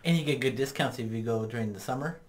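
A man's voice: medium pitch, fast talker, a crowd in the background. A man co-hosting a podcast in a small studio, chatting in an easygoing way. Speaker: podcast co-host. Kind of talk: casual chat. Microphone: studio mic on boom arm.

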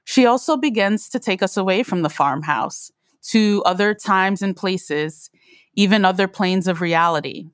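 A woman's voice: medium pitch, measured pace, no echo, studio quality. A woman reading scripted narration as the host of an audio program note.

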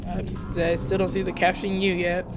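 A woman's voice: fairly low pitch, completely flat delivery, fairly quick, background noise. A joking, teasing woman hosting a gaming video with casual playthrough chat.

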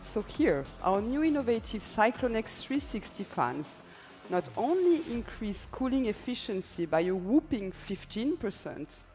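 A woman's voice: fairly high pitch, sing-song, unhurried, a little mellow, soft background music. Woman presenting on a keynote stage. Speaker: product presenter. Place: large stage in an arena hall.

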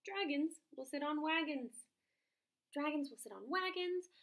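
A woman voicing a boastful small male frog who speaks slowly, loudly and in a sing-song way. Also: lively intonation, heavily acted. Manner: high, loud, slow and sing-song.

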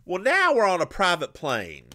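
A man's voice: high-pitched, normal speed. A man co-hosting a podcast, chatting casually as he recaps a TV episode and acts out the characters' lines. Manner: animated, puts on voices for characters. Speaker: podcast co-host.

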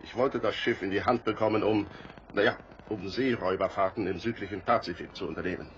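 Marooned sailor, male actor: low, remorseful, subdued delivery for radio play, muffled with faint noise. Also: natural voice. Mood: ashamed. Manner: halting, subdued confession. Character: remorseful former mutineer sailor, long marooned, gruffly ashamed. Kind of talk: German radio play acting.

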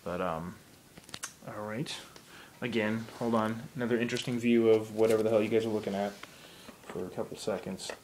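A hoarse young man in his twenties, casually explaining a home project while filming on a handheld phone, with lots of ums. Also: lowish voice, fairly even intonation, a little fast, darkish tone, slight background noise, rough recording. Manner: rambling, many ums, explaining while handling gear. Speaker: hobbyist showing a Halloween haunt build. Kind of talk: casual filmed walkthrough. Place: room in a home, indoors. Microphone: handheld phone camera.

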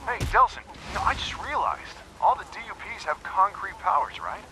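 A man's voice: high voice, very animated, moderate pace, background noise. An actor playing an earnest, protective American lawman in a video game, cautious and urgent as he gives instructions. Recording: game music behind the voice.

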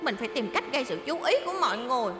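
A woman's voice: fairly high pitch, sing-song, rapid-fire, music in the background. A woman voicing an eager, hasty young male delivery van in a cartoon dub. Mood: urgent.

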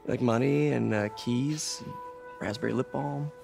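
An American man in his twenties playing an earnest, quippy young superhero in a game cutscene, halting, self-conscious and wry. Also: medium pitch, gravelly, a little mellow, faint music behind. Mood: awkward, hopeful. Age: 20s. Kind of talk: naturalistic video game cutscene acting.

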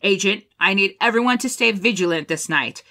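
A woman's voice: medium pitch, expressive, fairly quick, sharp tone. A woman playing an honourable military colonel in an audio drama, speaking with formal, firm authority. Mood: stern, commanding.

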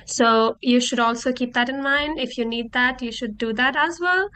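A woman's voice: a little high, normal speed, sharp tone. A young woman from Nepal, a native Nepali speaker and master's student, giving tips in a friendly, hesitant way with many fillers over a video call. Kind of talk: casual testimonial.

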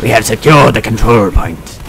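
A man's voice: lowish voice, lively intonation, normal speed, a bit bright, soft background music. A man giving casual, improvised gameplay commentary, rambling in a self-deprecating way and often repeating himself. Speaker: gaming video creator. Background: video game sounds.

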